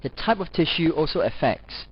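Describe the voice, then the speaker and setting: A man reading scripted educational narration as a lecturer, in a formal, measured manner. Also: on the high side, lively intonation, slightly rough. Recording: dull, lo-fi voice-over recording.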